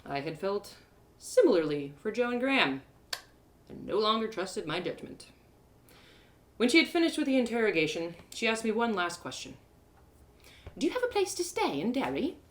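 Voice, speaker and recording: A woman reading a first-person fantasy story as an expressive, descriptive storyteller. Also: mid-range voice.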